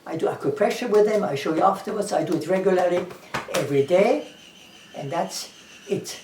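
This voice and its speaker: A man's voice: high voice, brisk, background noise. A man with a German accent, speaking as an English teacher in a casual talk to camera, earnest, repeating himself and listing ideas one by one. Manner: earnest, repeats himself, lists things, quotes scripture. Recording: echoey room, far from the mic.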